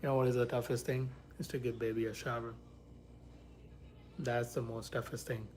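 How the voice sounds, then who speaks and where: A man from India casually hosting a livestream, chatting loosely with viewers, sometimes dropping to soft whispers. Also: medium pitch, flat delivery, normal speed, a little hiss or hum. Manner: rambling, shifts to soft whispers near sleeping baby. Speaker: livestream host.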